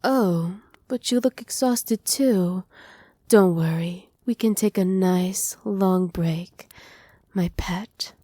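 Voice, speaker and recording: Woman acting as a possessive evil witch, doting and teasing, mellow soft voice, whispery ASMR roleplay, close and dry. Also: on the low side, slow pace, dry recording. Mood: doting, soothing. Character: doting, possessive evil witch pampering her captive pet. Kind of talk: ASMR roleplay, intimate monologue to listener. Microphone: close microphone, dry.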